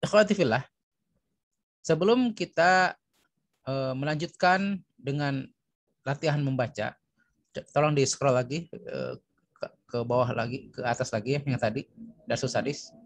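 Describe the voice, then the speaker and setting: A man's voice: medium pitch, measured pace, pauses often, dry recording. A man with an Indonesian accent teaching a language lesson online, explaining and reading phrases aloud with repetition for learners. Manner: instructional, repeats phrases for learners.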